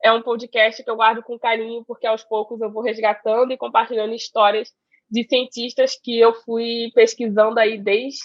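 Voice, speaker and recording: A woman's voice: fairly high pitch, little pitch movement, normal speed, dry recording, muffled recording. A woman in her twenties speaking on an online panel, chatty, with many fillers, over a video call. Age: 20s. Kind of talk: casual online panel talk.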